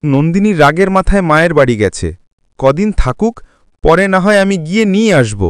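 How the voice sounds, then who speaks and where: A man's voice: on the high side, sing-song, brisk, clear, resonant tone, no echo, clean studio sound. A man voicing an earnest, devoted young husband and son in a cartoon drama, pleading with emphasis. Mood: sad, reassuring.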